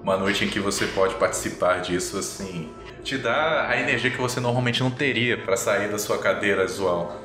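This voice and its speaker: Low-voiced man narrating in a roomy space. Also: moderate pace, hardly any pauses. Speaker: game master. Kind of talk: improvised tabletop game narration. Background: music.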